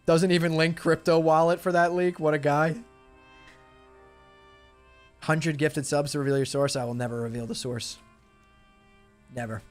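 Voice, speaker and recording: A man's voice: fairly high pitch, fairly even intonation, normal speed, long pauses, faint music behind. A man in young adulthood, chatting casually with his live stream viewers as he loses his voice. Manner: laid-back, chatty, talks to chat. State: losing his voice.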